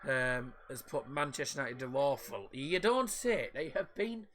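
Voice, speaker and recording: A man, voice croaky from a sore throat, giving casual live football commentary and rambling chat on his own stream. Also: medium pitch, lively intonation, normal speed, fairly bright tone. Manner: casual, rambling, mixes up names.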